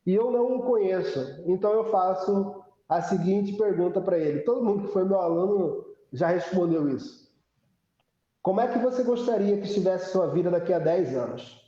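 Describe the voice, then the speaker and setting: A Brazilian man, a history teacher, explaining his methods in a casual, halting way and posing questions to himself. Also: high-pitched, dark tone. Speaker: public school history teacher. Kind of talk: casual live online discussion. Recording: very echoey room, dull online audio.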